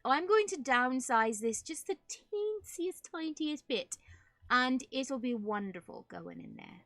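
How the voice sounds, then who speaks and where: A woman's voice: sits high, big pitch swings, slow, fairly bright tone, no room sound, soft background music. A woman with a put-on British accent gives casual, playful game commentary, chatting to herself and viewers in affectedly genteel phrases. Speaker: streamer playing a building game for viewers. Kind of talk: casual live game commentary, decorating rooms.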